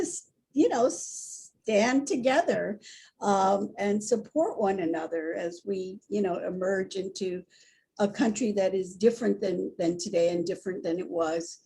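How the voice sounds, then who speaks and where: A woman in her sixties from Hawaii, speaking as a guest in an animated, candid conversation full of restarts and asides. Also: medium pitch, vocal fry, a little hiss or hum. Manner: animated and candid, with frequent restarts and "you know". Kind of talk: conversational storytelling.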